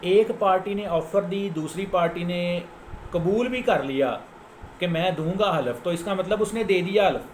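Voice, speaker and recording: A Pakistani man with a slightly high voice teaches in an echoey room with faint noise behind him. He explains in an informal, didactic way, like a lawyer walking listeners through the rules, and restates his main points. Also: moderate pace. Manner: didactic, repeats key points for emphasis. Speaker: lawyer giving a legal lecture. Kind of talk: informal explanatory lecture citing case law.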